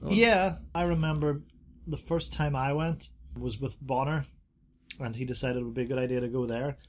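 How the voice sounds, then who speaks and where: A man's voice: medium pitch, fairly even intonation, moderate pace. A man co-hosting a casual podcast, chatting about bars and reading reviews aloud with playful asides. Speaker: podcast co-host.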